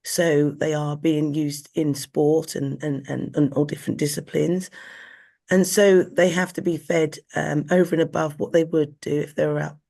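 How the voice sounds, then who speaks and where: Hoarse woman, gravelly, husky, earnest guest explaining, frequent restarts. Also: low-pitched, little pitch movement, normal speed, mellow. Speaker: guest, equine nutritionist. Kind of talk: explanatory interview talk.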